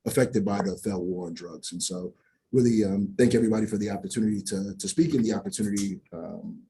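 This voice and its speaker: Male advocate, public testimony: earnest, halting delivery, lower-pitched and warm. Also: a little hiss or hum, poor audio. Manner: earnest, many filler words and restarts. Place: public hearing.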